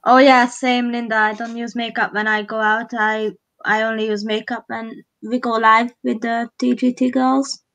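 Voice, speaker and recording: A woman's voice: a little high, level tone, moderate pace, fairly bright tone, highs cut off. Hungarian-accented woman chatting casually. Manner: rambling, with frequent restarts and laughs. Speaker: live stream co-host. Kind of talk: casual chat while doing makeup.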